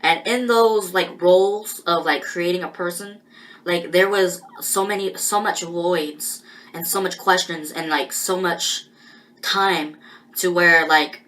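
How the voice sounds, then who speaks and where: Woman, personal monologue, halting and rambling, slow delivery, lower voice. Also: fairly even intonation, fairly bright tone, low-quality recording. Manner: halting and rambling, with many pauses and restarts.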